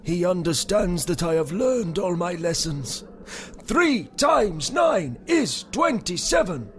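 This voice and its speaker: A high, slow-talking old madman from a video game. He is childish and obstinate, reciting in an obsessive sing-song, with faint noise behind him. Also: sing-song, exaggerated voice. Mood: manic shouting. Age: older. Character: deranged old male asylum patient, childish and obstinate. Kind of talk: theatrical video game voice acting.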